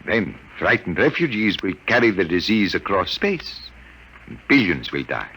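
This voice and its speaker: Grave, low-voiced radio-drama scientist. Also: male voice, sing-song, moderate pace, bright, forceful tone, full character voice. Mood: grave, dread. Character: grave, frightened male scientist informant. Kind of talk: 1950s radio drama acting. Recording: vintage radio recording with faint noise.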